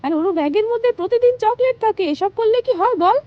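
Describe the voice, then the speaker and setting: A fretful, sensible female character from a children's doll story, put on by a woman. Her voice is extremely high, and she admonishes earnestly and with caution. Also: expressive, quick pace, highs cut off. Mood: scolding.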